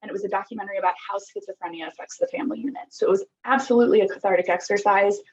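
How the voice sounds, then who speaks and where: Fast-talking husky woman, podcast guest. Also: medium pitch, highs cut off. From Costa Rica. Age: 30s. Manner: open, earnest, many ums and likes. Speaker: podcast guest, app founder. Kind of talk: reflective interview talk.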